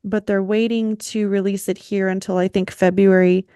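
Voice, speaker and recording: A woman's voice: mid-range voice, little pitch movement, normal speed, warm tone, clear, resonant tone, no room sound, studio quality. A woman chatting casually on a panel in an informal, slangy way. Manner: informal, slangy, mild swearing. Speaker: podcast panelist. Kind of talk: casual chat.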